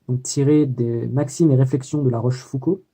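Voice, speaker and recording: A man's voice: medium pitch, fairly even intonation, a little fast, mellow, slightly rough. A young man recording a daily video diary about his student life, talking hesitantly with many fillers and self-corrections. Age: young adult. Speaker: student vlogger.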